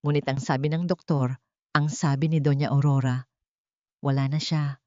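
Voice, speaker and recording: A woman's voice: sits low, fairly even intonation, a little mellow, no echo, close to an everyday voice. A woman voicing an old male gardener, grave, sorrowful and protective, in an audiobook drama. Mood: grave, urgent. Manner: grave, deliberate. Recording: dull, dry lo-fi recording.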